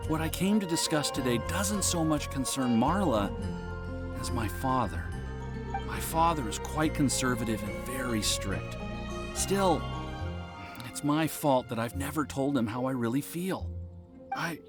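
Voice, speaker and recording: Game role: male actor as bashful young suitor, mid-pitched, swooping intonation, stammery, music behind. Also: expressive, unhurried, lightly acted. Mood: earnest, hesitant. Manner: hesitant, stammering, sighing. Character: shy, anxious, lovestruck young man, earnest and dutiful son. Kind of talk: video game character acting.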